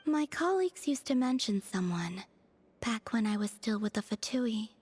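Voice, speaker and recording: A woman voicing a serene, aloof young moon goddess in a video game, speaking softly in a measured, formal, deadpan way. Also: a little high, slightly rough, no room sound. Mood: pensive. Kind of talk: English video game dialogue acting. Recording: faint music behind the voice. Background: faint background music.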